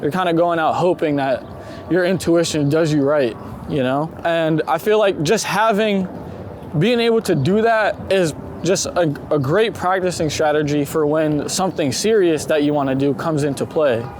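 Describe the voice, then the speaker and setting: A man's voice: a little high, normal speed. A man talking casually and informally, with some swearing, as a street photographer being interviewed outdoors while walking. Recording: loud outdoor city street noise. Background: traffic and urban street noise.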